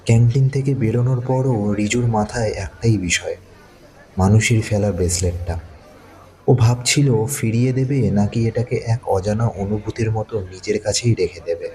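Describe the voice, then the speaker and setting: A man's voice: fairly low pitch, mellow, slight background noise, poor audio. A man narrating a scripted thriller audio story. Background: faint music.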